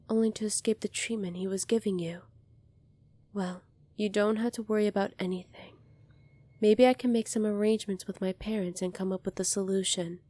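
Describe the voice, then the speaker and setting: A woman playing a gentle, earnest young alien princess in an ASMR roleplay, speaking softly and politely to the listener. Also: mid-range voice, dry recording, lightly acted. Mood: reassuring, resolved. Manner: soft-spoken, polite, earnest, apologetic.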